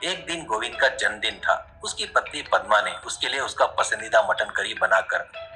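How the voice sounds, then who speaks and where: A man's voice: on the low side, moderate pace, sharp tone, faint music behind, rough recording. A man narrating a scripted cartoon story in an expository storybook manner.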